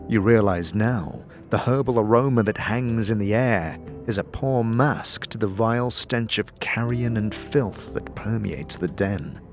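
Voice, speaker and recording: Low-voiced man narrating dark fantasy. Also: a little slow, hardly any pauses, soft background music. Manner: descriptive, dramatic storytelling. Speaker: narrator and game master. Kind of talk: scripted second-person fantasy narration.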